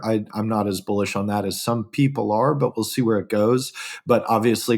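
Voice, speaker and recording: A man with a fairly low voice talks on a podcast panel. He speaks in a measured, thoughtful way. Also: darkish tone. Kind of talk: casual podcast discussion.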